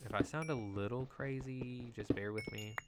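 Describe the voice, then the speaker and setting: A man with a nasal voice, just getting over an illness, chatting excitably through casual live gameplay commentary as a game streamer. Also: medium pitch, moderate pace. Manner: chatty and excitable, lots of "like" and "you know". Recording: loud background noise.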